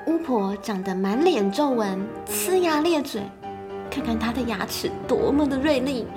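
Female storyteller: high voice, expressive scripted children's narration over prominent music. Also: measured pace.

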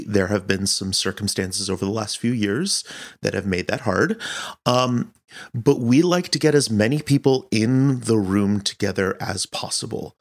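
An American man answering interview questions as a director, explaining his work in a reflective, conversational way. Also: lowish voice. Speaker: director and producer being interviewed. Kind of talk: casual interview.

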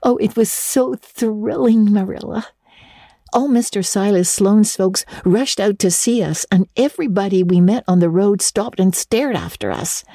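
A woman voicing a dreamy, talkative schoolgirl in a storybook, with earnest, dramatic and breathy emphasis. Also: medium pitch, unhurried, dark tone, no room sound, clean studio sound, voice pushed up. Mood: thrilled. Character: dreamy, talkative, romantic schoolgirl with vivid imagination. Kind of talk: dramatic audiobook reading of classic novel.

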